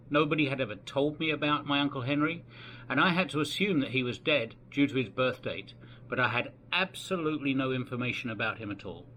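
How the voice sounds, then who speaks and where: A man in his sixties from England with an English accent, narrating his family history from his home in a gentle, unhurried way. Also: mid-range voice, slight background noise, low-quality recording. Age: 60s. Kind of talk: reflective personal narration. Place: home in the Philippines.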